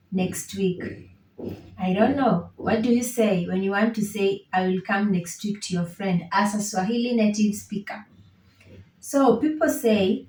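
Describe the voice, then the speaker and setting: A woman's voice: mid-range voice, a little mellow, distant mic. A woman from Kenya with a Kenyan accent teaching Swahili in an online lesson, patiently repeating each phrase. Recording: roomy echo, set back from the mic.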